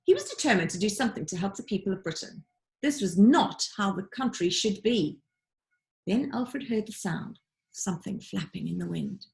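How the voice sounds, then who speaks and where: A woman narrating a children's storybook like a teacher reading aloud, with dramatic, deliberate emphasis. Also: medium pitch, expressive, creaky, a little mellow. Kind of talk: expressive children's audiobook narration.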